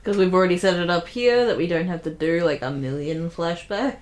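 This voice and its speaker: A woman's voice: fairly low pitch, fairly quick, a little mellow. A woman giving casual, conversational commentary as a co-creator and voice actor. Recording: echoey room.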